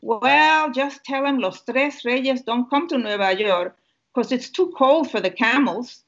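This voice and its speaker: A woman's voice: high-pitched, unhurried, vocal fry, fairly bright tone, lo-fi sound, voice pushed up, heavily acted. An older woman with a Puerto Rican accent reading the part of a scheming uncle who always works an angle. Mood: sly.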